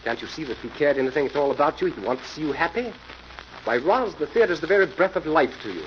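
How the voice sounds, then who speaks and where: A man acting in a 1940s radio drama as an impatient, scornful, sharp-tongued gentleman of the theater set. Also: on the high side, lively intonation, fast, close to an everyday voice. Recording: dull, noisy old radio recording.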